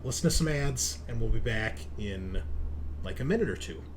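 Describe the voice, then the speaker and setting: A man's voice: fairly low pitch, lively intonation, normal speed, slight background noise. A man hosting and game mastering a tabletop roleplaying session in an informal, casual way.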